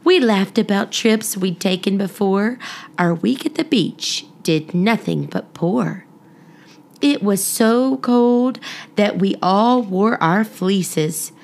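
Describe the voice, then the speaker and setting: Slow-paced, gravelly-edged woman reading for children. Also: mid-range voice. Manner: expressive, deliberate read-aloud phrasing aimed at young listeners. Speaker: children's story podcast host and reader. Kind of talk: children's storybook narration and friendly address to listeners.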